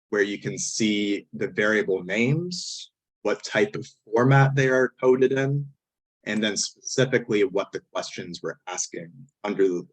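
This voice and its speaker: A man with a hoarse, failing voice who often clears his throat, walking step by step through a statistics software tutorial over a screen recording. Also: lowish voice, poor audio. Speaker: statistics instructor. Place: indoors at a computer, voice-over on screen capture.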